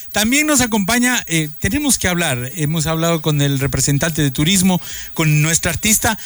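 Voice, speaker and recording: A man's voice: on the high side, fairly bright tone, no room sound. A man reporting live for radio from a town park, speaking effusively with many hesitations and restarts. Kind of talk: live radio remote broadcast. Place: outdoors in a town park, in the rain.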